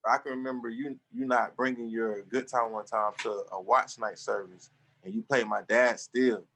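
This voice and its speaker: A man's voice: medium pitch, steady tone, normal speed, fairly bright tone. A man in his twenties, a guest musician, talking loosely and trailing off in a casual interview. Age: 20s.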